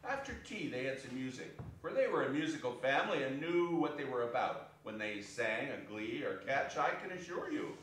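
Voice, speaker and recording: A man's voice: mid-range voice, very animated, fairly quick, hardly any pauses, a bit bright, slight background noise. A man narrating a classic story in a scripted theatrical reading on stage. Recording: echoey hall, distant microphone.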